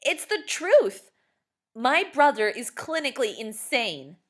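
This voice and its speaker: A woman voicing a bossy, dramatic teenage girl in a storybook reading, high and loud when upset. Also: big pitch swings, slow, exaggerated voice. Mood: exasperated. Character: bossy teenage sister in a fairy costume.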